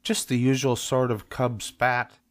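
A man voicing a gentle, reassuring mother bear in a children's storybook. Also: medium pitch, level tone, measured pace, no room sound, pitched above the performer's own voice, lightly acted.

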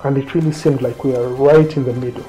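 Kenyan man, thirties, interview storyteller, relaxed dark tone over light background music. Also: medium pitch, quick pace, low-quality recording. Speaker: interviewee recounting his own trip. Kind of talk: conversational storytelling to camera. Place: small quiet indoor room, seated. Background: faint music.

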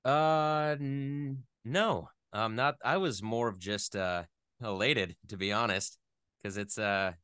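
A man in his thirties chatting casually as an interview guest, thinking aloud in a halting, self-deprecating way. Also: on the low side, bright, forceful tone, smooth, no echo, clean studio sound. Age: 30s.

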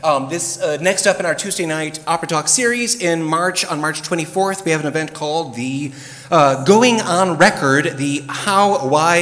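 A man's voice: fairly high pitch, a bit bright. A middle-aged man moderating a panel on a theater stage, talking into a handheld mic with plenty of fillers and restarts. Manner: chatty, many fillers and restarts. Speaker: moderator, opera dramaturg. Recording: long reverb from the hall.

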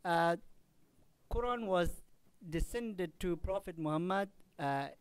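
A man from Afghanistan in the audience, commenting at a conference in halting, careful English full of pauses and fillers. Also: high-pitched, clear, resonant tone, no echo. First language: not Arabic; Afghan language. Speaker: audience member asking a question.

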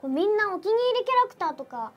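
A woman's voice: very high-pitched, sing-song, fast talker, muffled recording, noisy background. A young woman co-hosting, explaining things in a casual presenter style. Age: young adult. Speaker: co-host of a game promo show, voice actress. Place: studio, seated at a panel table. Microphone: lapel mic.

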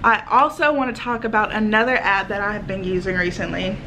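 Young woman, 20s, chatty home vlogger at arm's length, mid-pitched, faint room hiss. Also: fairly even intonation, brisk. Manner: chatty, many fillers like "like" and "literally". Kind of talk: casual chat to camera. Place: small home, living room then kitchen, indoors. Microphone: phone camera at arm's length.

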